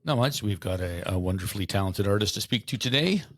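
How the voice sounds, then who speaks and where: Male co-host, low voice, talks fast, restarts a lot, dry close mic. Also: animated, no room sound. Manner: quick, stumbling restarts. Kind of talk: casual podcast interview.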